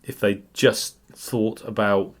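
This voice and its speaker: Low-pitched British man reviewing gear. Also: fairly even intonation, slow pace. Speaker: radio enthusiast reviewer. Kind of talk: casual unscripted review commentary. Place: voice-over over still images.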